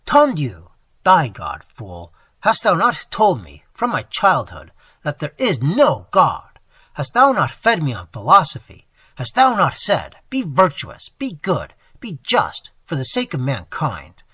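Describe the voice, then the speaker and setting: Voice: mid-range voice, huge pitch swings, measured pace, pushed and bright, breathy edge, no room sound, exaggerated voice. A reader voicing a cold young male robber who speaks with hard, mocking scorn. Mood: bitter scorn.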